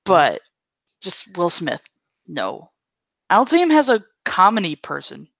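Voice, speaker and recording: A woman's voice: on the low side, sing-song, a little slow, vocal fry, fairly bright tone, no echo. A young woman chatting casually as a podcast guest, giving her opinions bluntly and emphatically. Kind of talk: casual chat. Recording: dull, lo-fi, close dry audio.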